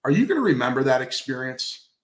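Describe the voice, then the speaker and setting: A man's voice: medium pitch, big pitch swings, quick pace. A man speaking conversationally in an industry interview, coaching emphatically and repeating key phrases for stress. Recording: roomy, echoey sound.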